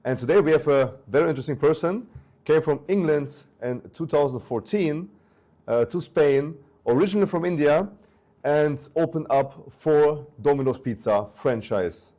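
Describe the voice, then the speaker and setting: Young male host, mellow tone, hesitant interviewer who restarts his questions. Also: on the high side, normal speed. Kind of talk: interview hosting.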